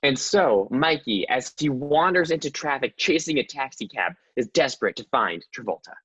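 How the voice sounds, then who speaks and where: Male comedy narrator, mid-pitched and animated, sassy radio play spoof, dull-sounding mic. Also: moderate pace, slightly rough. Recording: slightly dull livestream audio.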